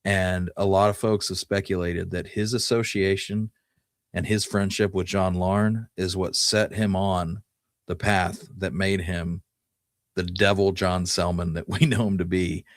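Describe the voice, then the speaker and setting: A man's voice: low-pitched, level tone, measured pace, no room sound, clean studio sound. A man co-hosting a history show, talking casually and explaining things in an informal storytelling way. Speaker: co-host presenting research on Old West history. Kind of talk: casual conversational history podcast talk.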